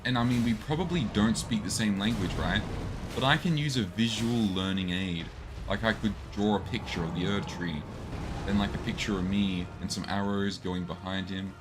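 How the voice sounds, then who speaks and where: Deep deadpan man over loud battle noise. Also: moderate pace. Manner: deadpan, dry self-mocking mumbles. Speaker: gameplay commentator. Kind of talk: laid-back gaming commentary. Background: loud game battle sounds.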